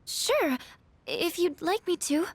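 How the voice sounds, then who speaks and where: A woman's voice: extremely high pitch, animated, slow pace, no room sound, full character voice. A woman voicing a shy, earnest, kind teenage schoolgirl, polite and careful, in an anime-style video game.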